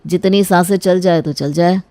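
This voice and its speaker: Woman playing a sly, mellow-toned young brother-in-law. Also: fairly low pitch, steady tone, normal speed, no echo, natural voice. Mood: casual. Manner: insinuating, taunting, pushy.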